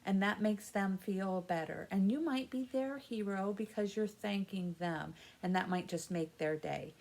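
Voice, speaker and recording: A woman's voice: medium pitch, unhurried, gravelly, darkish tone. A woman hosting a children's story time, explaining things in simple, encouraging words addressed to young kids. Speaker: library story time host.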